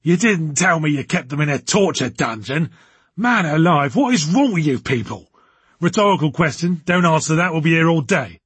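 A man voicing a loud, blustering, angry boss in an audiobook, brusque, sarcastic and humorless. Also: on the high side, expressive, normal speed, slightly rough, no room sound, pitched above the performer's own voice. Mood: exasperated.

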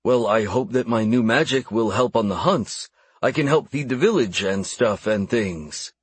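A man's voice: mid-range voice, expressive, moderate pace, slightly rough, no echo. A man playing an earnest, big-hearted young orc mage in an audiobook, with a pleading manner. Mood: hopeful, confused. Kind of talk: audiobook character voice.

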